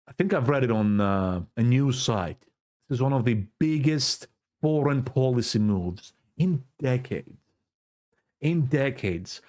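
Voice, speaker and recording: A man from Cyprus with a Greek Cypriot accent, giving a fervent, preachy political monologue to his own camera and repeating words for emphasis. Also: medium pitch. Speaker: vlogger. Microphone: self-recorded camera setup.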